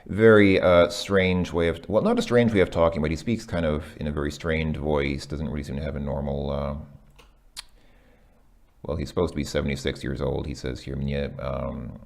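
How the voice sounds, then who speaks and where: A man working through a language lesson out loud, hesitant, with many ums and self-corrections. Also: very deep voice, steady tone, normal speed, creaky. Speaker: language learner reviewing a lesson. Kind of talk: casual thinking-aloud commentary.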